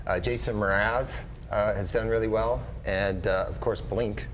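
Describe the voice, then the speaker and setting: A man's voice: lowish voice, fairly even intonation, measured pace, a little hiss or hum, low-quality recording. A man who hosts a radio show, answering questions in casual interview talk.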